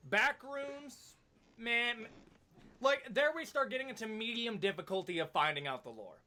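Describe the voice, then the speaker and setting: A man's voice: extremely high pitch, expressive, normal speed, bright, forceful tone. A man giving casual, opinionated video commentary in an emphatic, slangy, conversational way.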